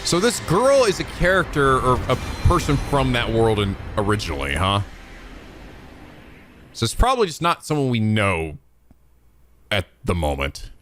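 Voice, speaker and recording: A man's voice: medium pitch, very animated, normal speed, long pauses, music in the background. A man giving casual, profane, sarcastic commentary as he reacts to game cutscenes. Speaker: let's-play host reacting to cutscenes.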